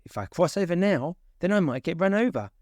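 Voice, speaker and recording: Man doing an excitable, high-pitched storybook worrier. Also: brisk, no echo, studio quality. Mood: anxious. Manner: fretful, excitable.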